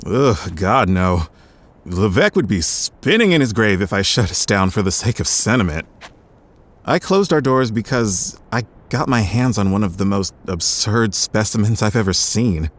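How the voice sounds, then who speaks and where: A weary sardonic paleontologist, low voice, dry manner, audio drama. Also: male voice. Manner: weary, sardonic, quickening when excited. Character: gruff middle-aged male paleontologist, bitter and stubborn. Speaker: doctor running a research center.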